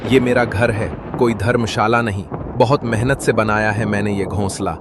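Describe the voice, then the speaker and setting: Grumpy cartoon crow as a man voices him, gruff and irritable, low voice, noisy background. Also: fairly even intonation, moderate pace, natural voice. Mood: annoyed. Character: grumpy selfish male crow.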